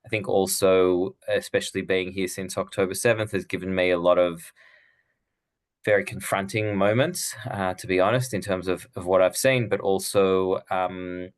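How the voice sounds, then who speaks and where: A man's voice: low-pitched, fairly even intonation, normal speed. A middle-aged man, born abroad and raised elsewhere, speaking earnestly as an author in an interview, with frequent restarts. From Israel, raised in Australia. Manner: earnest, thoughtful, many restarts. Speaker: author and guest. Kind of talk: conversational interview.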